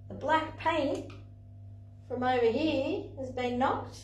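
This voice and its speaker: A young woman with a slightly high voice tells a story for little children. She swoops in pitch and exclaims as she talks. She sounds a little distant, picked up in an echoey playroom. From Australia. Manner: animated, sing-song, exclamatory storytelling. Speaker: playgroup facilitator. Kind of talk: storytelling lesson for parents and toddlers. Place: indoor playroom, in front of a screen. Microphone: far camera. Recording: echoey room, voice from afar.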